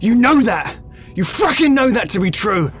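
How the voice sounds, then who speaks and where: Male actor as defiant war veteran, raised pitch, persuasive, insistent, audio drama, light music behind. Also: sing-song, moderate pace. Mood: bitter, insistent. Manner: insistent, pointed rhetorical questioning.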